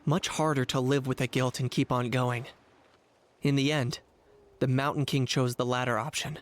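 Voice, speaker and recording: An actor playing a pragmatic, reserved young warrior in an anime video game, level-headed and matter-of-fact. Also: male voice, medium pitch, level tone, moderate pace, close to an everyday voice. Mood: reflective, serious.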